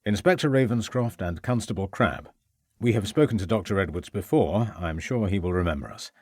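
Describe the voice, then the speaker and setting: A man's voice: fairly low pitch, lively intonation, a little fast, darkish tone, husky, no room sound, clean studio sound. A man voicing an earnest, composed male police inspector who speaks formally.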